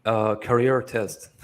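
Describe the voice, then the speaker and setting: A man's voice: medium pitch, level tone, drawn-out words. A young man from Iraq, talking casually as an interview guest, rambling with lots of fillers and swearing freely. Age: young adult.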